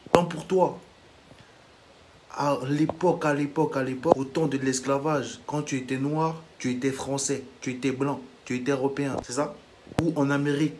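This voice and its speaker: A man's voice: medium pitch, background noise. A man from Africa delivering a heated reaction monologue as a vlogger, indignant and lecturing, blunt to the point of insults.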